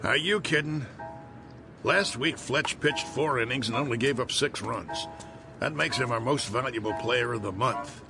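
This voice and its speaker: An actor playing a sour, wisecracking baseball manager in a radio drama, blunt, slangy and sarcastic. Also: male voice, medium pitch, normal speed, gravelly, over music, close to an everyday voice. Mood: sour, exasperated.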